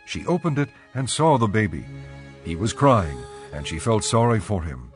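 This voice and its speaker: A man narrating a dramatized scripture reading as a measured storyteller. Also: on the low side, sing-song, faint music behind.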